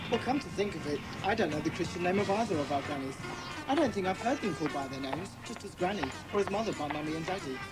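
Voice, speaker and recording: A woman's voice: fairly low pitch, expressive, quick pace, over loud music, natural voice, poor audio. A woman voicing an earnest, well-spoken British schoolboy in a children's audiobook drama. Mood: puzzled. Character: Peter, earnest schoolboy leader of a children's detective club.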